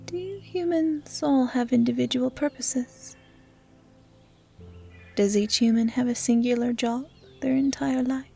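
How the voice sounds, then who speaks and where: A woman voicing a gentle, curious female dryad who guards the woods, speaking softly and often in a whisper in a roleplay audio drama. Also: high-pitched, creaky, husky, faint music behind, exaggerated voice. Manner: soft, often whispery, naive and measured. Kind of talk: roleplay audio drama, soft intimate acting.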